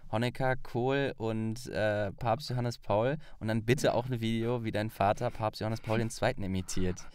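A man's voice: lowish voice, fairly even intonation, moderate pace, darkish tone, clear, resonant tone. A man hosting a podcast, chatting casually with a guest. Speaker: podcast host. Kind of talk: casual interview chat.

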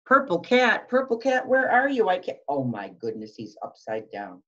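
A woman's voice: fairly low pitch, huge pitch swings, normal speed, a bit bright. A middle-aged woman reading a picture book aloud to young children, sing-song and full of questions, on a webcam microphone at home. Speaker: school behavior specialist reading to young children. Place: small room at home, indoors. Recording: dull lo-fi webcam sound.

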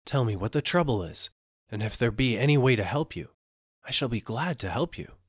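A man's voice: medium pitch, expressive, brisk, slightly rough, dry recording, studio quality, voice pushed up. A man voicing a frantic kind old merchant. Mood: pleading. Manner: pleading, then gasping in panic. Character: kind old male merchant, pleading then terrified.

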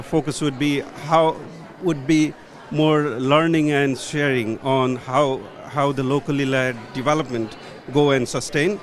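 A middle-aged man from Nepal with a Nepali accent, speaking earnestly and searching for words as a conference guest. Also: mid-range voice. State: a little tired from travel. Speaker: conference guest, policy professional. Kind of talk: conference interview. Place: conference hall booth, indoors. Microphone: handheld mic held by interviewer.

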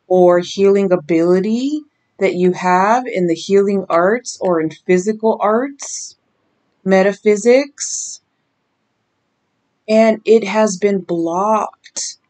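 A woman in her thirties, raspy from lack of sleep, giving a slow, emphatic tarot reading to the camera in a small bedroom. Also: mid-range voice, a little mellow. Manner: slow, emphatic, drawn-out warnings. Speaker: tarot reader. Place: small decorated bedroom indoors.

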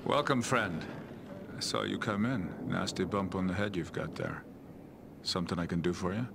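A man's voice: low-pitched, moderate pace, vocal fry, noisy background. A man playing a wary but friendly middle-aged tavern regular in a fantasy video game, familiar and cautioning. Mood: curious.

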